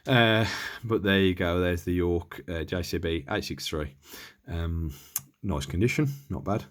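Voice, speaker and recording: Low-voiced man, hesitant and casual. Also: unhurried. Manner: hesitant, tentative, thinking aloud. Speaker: presenter of a radio equipment demonstration. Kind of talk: casual unscripted hands-on demonstration.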